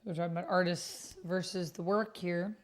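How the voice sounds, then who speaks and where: A woman's voice: fairly low pitch, steady tone, moderate pace. A woman hosting a livestream in casual, reactive chat, with a playful, exclamatory way of talking. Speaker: streamer and host of an actual-play stream.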